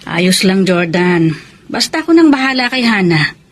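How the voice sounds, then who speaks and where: Woman doing a caring pinoy ate looking after a baby, really expressive, radio drama, bit of noise. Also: medium pitch, moderate pace, natural voice. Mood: caring, firm. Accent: Filipino. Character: caring motherly neighbor minding a baby, firm. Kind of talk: Filipino radio drama acting.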